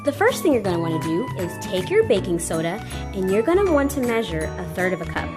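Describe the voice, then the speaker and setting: A woman hosting an interactive children's show, talking directly to young viewers in an exaggerated, animated manner. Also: mid-range voice, fast. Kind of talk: interactive children's read-aloud and science show. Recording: echoey room with music. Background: music.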